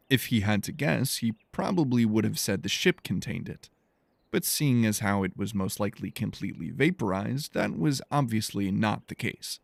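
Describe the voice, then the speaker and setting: Male narrator, low pitch, gravelly fry, measured storytelling, scripted audiobook fiction, dry recording. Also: dry recording.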